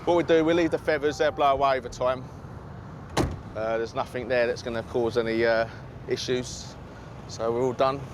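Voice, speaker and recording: A man working as an airside operations officer, talking calmly in brief radio phrases. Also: medium pitch, normal speed, background noise. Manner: calm, matter-of-fact, brief radio phrasing. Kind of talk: radio calls and work talk. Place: outdoors on an airfield.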